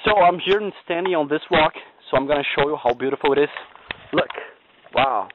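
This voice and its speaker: A man's voice: on the high side, normal speed, phone-quality sound, background noise. A man giving an enthusiastic little tour of a mountain view while filming, narrating as he goes. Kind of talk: outdoor travel video narration.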